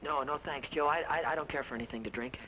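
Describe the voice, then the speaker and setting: A man's voice: fairly high pitch, quick pace, sharp tone. An actor playing a young American man searching for his outlaw brother, hesitant and stammering, in 1950s radio style. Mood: uneasy. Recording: dull old radio broadcast with hiss.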